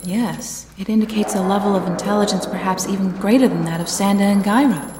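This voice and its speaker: A woman's voice: mid-range voice, fairly quick, noisy background, lightly acted. A woman playing a grave, knowing scientist in dramatic monster audio drama dialogue. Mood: grave, ominous. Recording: echoey room under music.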